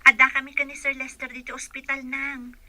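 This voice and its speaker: Woman playing young caregiver, clear forward tone, slightly high, dutiful, concerned, radio play. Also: little pitch movement, brisk, pushed and bright. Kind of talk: Ilocano radio drama acting.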